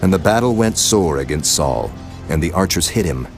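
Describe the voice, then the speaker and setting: A man narrating scripture from a script in a solemn, measured storytelling manner. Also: low voice, lively intonation, soft background music.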